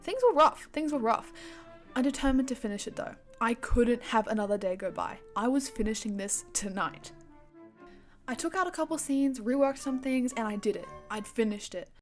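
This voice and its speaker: A woman's voice: a little high, moderate pace, soft background music. A young woman narrating her own art project in a casual, self-deprecating voice-over, often playing up the drama. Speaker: animatic creator.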